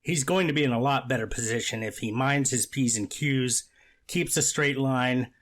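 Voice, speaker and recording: Judge played by a man, medium pitch, measured, authoritative and firm, courtroom readers theater. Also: a bit bright, close to an everyday voice. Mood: firm, admonishing. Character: stern, measured male judge presiding over sentencing.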